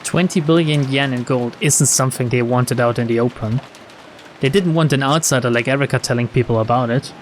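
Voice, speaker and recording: A man's voice: mid-range voice, fairly quick, a little hiss or hum. A man reading visual novel text aloud as a let's-play narrator, dropping wry, sarcastic and sweary commentary.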